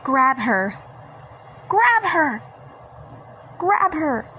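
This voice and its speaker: A woman's voice: high voice, big pitch swings, drawn-out words, sharp tone, pitched above the performer's own voice, exaggerated voice. A woman voicing sweet yet menacing candy creatures in a horror cartoon fan dub. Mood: commanding. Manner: sweet, disturbing, repeating. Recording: faint background noise.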